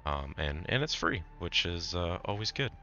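A man hosting casual gameplay commentary, raspy and hoarse from a cold, laid-back with startled outbursts. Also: deep voice, expressive, moderate pace, bright, forceful tone, lo-fi sound, faint music behind. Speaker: gaming channel host.

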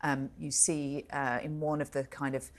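A British woman in her forties giving measured, articulate commentary as a royal editor in a television studio, stressing key words. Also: extremely low pitch, little pitch movement. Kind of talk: live broadcast review and commentary.

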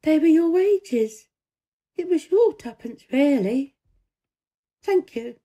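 A woman's voice: sits high, lively intonation, slow pace, dark tone, voice pushed up. A woman voicing a stiff, curious, sheltered upper-class little girl in a storybook, formal and hesitant. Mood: stiff, grateful.

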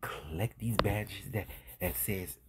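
A man giving informal video commentary as host, husky and breathy, emphatic and halting, full of rhetorical questions. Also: lowish voice, heavy background noise.

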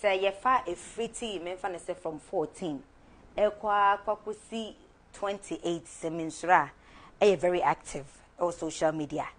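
A young Ghanaian woman hosting a studio talk show, lively and encouraging, mixing Twi with English, on a lapel mic. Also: fairly low pitch, a little slow.

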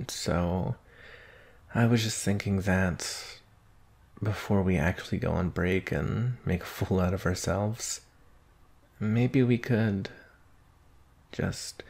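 Man as young werewolf student, shy, hesitant, gravelly fry, unhurried delivery, intimate ASMR roleplay. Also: fairly low pitch, a little mellow, full character voice. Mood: bashful, awkward. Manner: hesitant, bashful, halting, sighing.

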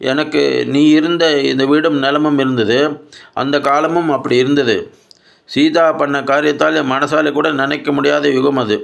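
A man's voice: on the high side, gravelly, a bit bright, pitched above the performer's own voice. A man voicing an anguished, defiant old widowed grandmother in a story, scolding and pleading with insistent questions.

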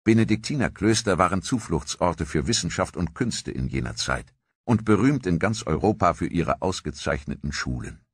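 A man narrating a history documentary in a measured, scripted storytelling manner. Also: rumbling low, fairly bright tone, slightly rough, no echo.

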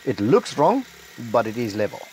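A middle-aged South African man with an Afrikaans background, narrating a homestead building vlog in a measured, matter-of-fact voice-over. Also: mid-range voice, wildly swooping pitch, darkish tone, a little hiss or hum, poor audio. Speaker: homestead vlogger. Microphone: close voice-over mic.

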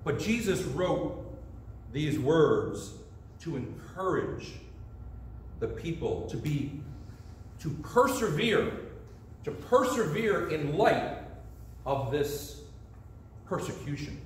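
Animated preacher in an echoey sanctuary. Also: male voice, on the high side, slow, long pauses, slight background noise. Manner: animated storyteller, gestures with both hands. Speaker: pastor. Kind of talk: storytelling sermon. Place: church sanctuary, indoors. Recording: distant echoey sanctuary sound.

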